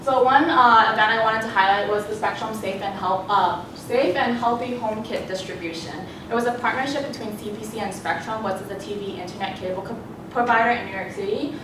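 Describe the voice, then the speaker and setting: A young woman whose first language is Cantonese, giving a student presentation in a conversational way with fillers and restarts. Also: brisk. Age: young adult. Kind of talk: presentation talk. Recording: echoey hall, voice from a distance, background rumble.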